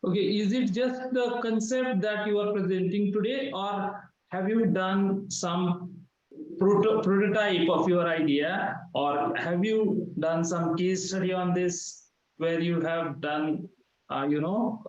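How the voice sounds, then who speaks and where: A man's voice: sits high, unhurried. A man judging a hackathon, asking presenters probing questions about their projects. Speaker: hackathon judge. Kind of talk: asking questions on a video call. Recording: echoey lo-fi call audio.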